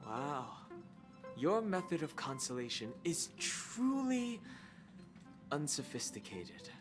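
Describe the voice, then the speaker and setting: An actor playing a reserved young man who speaks in a dry, quiet way, over game music. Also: on the high side, very animated, slow pace.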